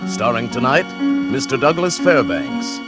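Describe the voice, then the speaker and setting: A man's voice: big pitch swings, a little slow. Dramatic old-time radio announcer man. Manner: dramatic, booming announcer delivery. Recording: old radio broadcast, loud music.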